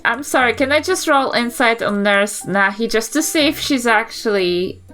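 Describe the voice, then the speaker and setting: A woman's voice: fairly high pitch, animated, normal speed, a bit bright, faint music behind. A woman with a New Zealand accent chatting casually as a player in a tabletop roleplaying podcast, questioning and sceptical. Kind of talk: casual improvised game chat.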